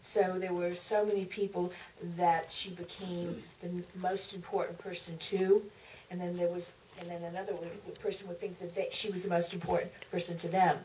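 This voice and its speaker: A woman author recollecting at a live Q&A in a theater, speaking haltingly with repeats and trailing phrases. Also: on the low side, flat delivery, a little mellow. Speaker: author, panel guest. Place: museum theater. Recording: distant, noisy auditorium pickup.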